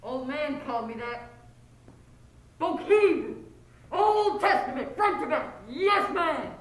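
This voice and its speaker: A haunted night watchman of the piers played by a young woman on stage intones Old Testament warnings like a preacher. The voice is high and unhurried. It rings through a very echoey theatre space. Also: animated. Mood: intense, rambling. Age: teenager. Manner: incantatory, preaching in archaic biblical phrases. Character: haunted, scripture-quoting male pier watchman, Willie. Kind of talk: high school stage play acting. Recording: echoey stage hall recording.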